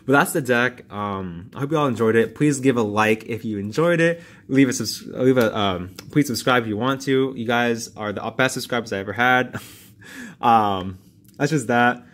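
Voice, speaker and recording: A man's voice: medium pitch, moderate pace, a bit bright. Casual male vlogger, rambling and filler-heavy, slightly strained voice. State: voice strained from long talking. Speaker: card game YouTuber. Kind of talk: casual card review vlog.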